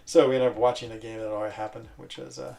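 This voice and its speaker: A man who grew up in Switzerland, chatting casually and rambling while he plays a video game, breaking off into quick exclamations. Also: medium pitch, flat delivery, vocal fry, a little mellow. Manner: rambling, self-interrupting chatter with fillers and sudden exclamations. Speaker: gaming streamer.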